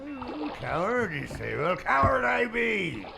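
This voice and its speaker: A gravelly old boatman in a radio drama, fearful and rustic, his high voice swinging widely. He speaks like a folksy sailor over clear background noise. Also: huge pitch swings, takes it slowly, full character voice. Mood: fearful, pleading. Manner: rustic sailor speech, quavering pleas. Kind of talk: radio play acting.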